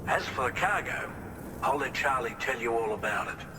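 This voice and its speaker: A man acts as a refined, genial male scientist in a video game, chatty and courteous at a middling pitch. His voice comes from a little way off, with long reverb and clear noise around it. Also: expressive, normal speed, recorded from a distance, lightly acted. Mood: genial, approving. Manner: chatty, courteous, tag questions. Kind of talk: video game cutscene dialogue.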